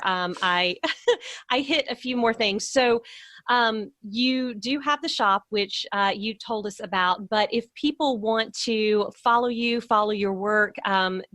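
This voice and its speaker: A woman's voice: mid-range voice, fairly bright tone, no room sound, clean studio sound. A woman hosting a podcast interview in a casual, conversational way, enthusiastic and encouraging toward her guest.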